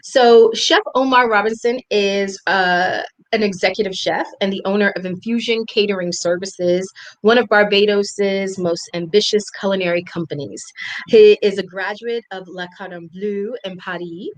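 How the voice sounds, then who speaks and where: A woman's voice: mid-range voice, steady tone, moderate pace, rough recording. A woman hosting a live interview series, reading her guest's introduction and asking questions with enthusiasm. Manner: warm, enthusiastic, reads the intro aloud. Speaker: host.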